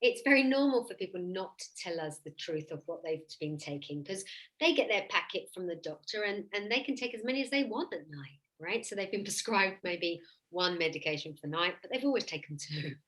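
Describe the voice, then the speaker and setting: A woman's voice: fairly low pitch, expressive, fairly quick, fairly bright tone, low-quality recording. A woman hosting a casual conversation, talking informally from her own working experience. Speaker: host, therapeutic coach.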